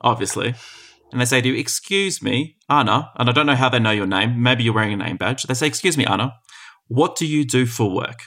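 A man's voice: medium pitch, fairly quick, a bit bright. A man hosting a podcast, talking in a friendly, conversational way and thinking aloud as he asks questions. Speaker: podcast host. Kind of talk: podcast interview.

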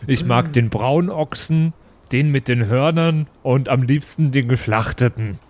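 A man's voice: mid-range voice, measured pace, darkish tone, a little hiss or hum. A man playing a tabletop game, chatting casually and improvising with jokey, playful banter.

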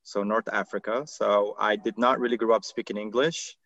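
A man from Morocco whose first languages are Arabic and French, a podcast guest telling his career story in a relaxed, steady way. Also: fairly low pitch, moderate pace, no echo, dull audio. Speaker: podcast guest, marketing executive. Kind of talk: casual interview chat.

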